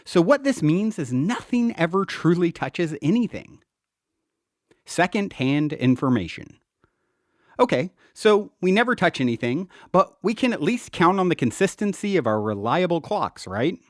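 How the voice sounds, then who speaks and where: A man's voice: sits high, very animated, normal speed, no room sound, studio quality. A man reading his own book aloud as scripted narration, in an earnest, emphatic manner.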